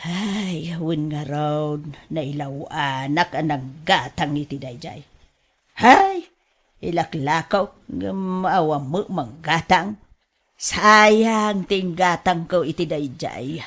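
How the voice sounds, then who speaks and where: A woman with an Ilocano accent playing an excitable, fretful middle-aged mother in a radio drama, expressive and exclamatory. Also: low-pitched, slow pace, heavily acted. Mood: regretful, complaining. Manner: expressive, exclamatory, laughs easily.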